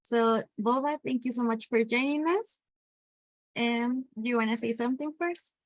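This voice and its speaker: A woman's voice: on the high side, moderate pace, no room sound. A woman hosting and moderating a workshop, introducing it and putting questions to speakers.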